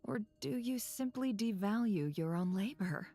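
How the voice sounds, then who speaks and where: A woman's voice: medium pitch, expressive, measured pace, mellow. A woman playing a cool, exacting boss in a video game, curt and haughty in manner. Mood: stern, exasperated.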